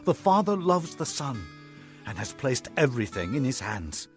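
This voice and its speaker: A man's voice: medium pitch, big pitch swings, moderate pace, faint music behind. An actor playing a fervent prophet, declaiming his testimony in a dramatized scripture reading. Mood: fervent, exalted.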